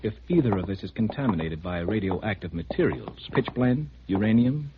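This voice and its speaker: An American actor playing a brisk, guarded army major in a 1950s radio drama, questioning in a clipped, official, probing way. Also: male voice, on the low side, animated, close to an everyday voice. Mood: casually probing. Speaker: military security officer. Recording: muffled, hissy old radio recording.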